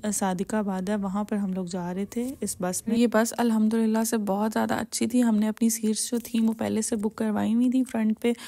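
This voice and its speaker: A young Pakistani woman with a Urdu accent tells her trip in a relaxed, chatty voice-over. Her tone is dark and mellow, edged with a gravelly fry. She keeps her pitch flat and even, padding sentences with easy fillers. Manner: chatty, filler-heavy storytelling. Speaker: vlogger. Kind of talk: casual vlog voice-over narration.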